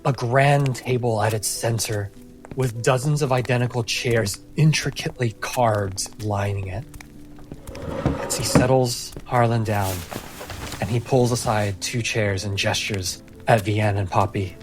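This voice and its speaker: A man narrating a fantasy tabletop game as game master, in measured phrases with dramatic pauses. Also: medium pitch, steady tone, music in the background.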